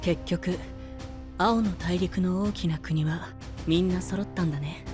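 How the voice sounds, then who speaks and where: A male voice actor playing a calm, dreamy, soft-spoken adolescent boy knight with a breathy voice, in an anime-style voice drama. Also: high-pitched, measured pace, over music. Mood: mildly curious.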